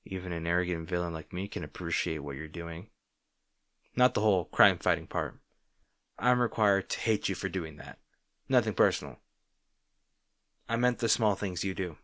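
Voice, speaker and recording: Man acting a low-voiced teasing supervillain rival. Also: moderate pace, pauses often, a bit bright, no echo, clean studio sound. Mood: grudging admiration. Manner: teasing, bantering, grudgingly sincere. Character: arrogant male supervillain rival, teasing but secretly caring. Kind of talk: roleplay audio drama addressed to the listener.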